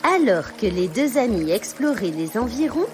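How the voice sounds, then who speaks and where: Woman reading a kids bedtime story, highish voice, very animated, music behind her. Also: normal speed. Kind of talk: scripted children's story narration.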